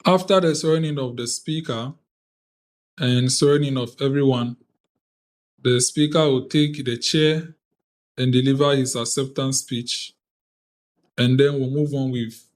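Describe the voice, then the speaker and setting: A Ghanaian man speaks over a video call, addressing an online youth parliament in a formal ceremonial manner. He talks slowly and deliberately, with an unhurried, careful pace. His voice sits at an ordinary middle pitch. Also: steady tone. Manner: deliberate, measured, reads out lists of names.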